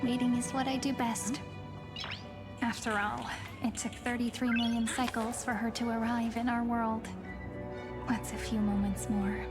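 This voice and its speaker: A woman voicing a gentle, devoted young game heroine, earnest and reassuring. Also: fairly high pitch, little pitch movement, measured pace, lightly acted. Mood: wistful patient. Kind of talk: video game voice acting. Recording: game dialogue under clear music.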